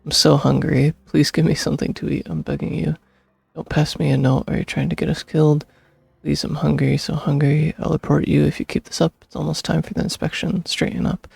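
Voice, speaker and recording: A young man streaming a game, reading its text aloud and commenting casually, with a creaky, husky voice. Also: on the high side, little pitch movement, fairly quick, no room sound. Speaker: gaming streamer. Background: soft game music.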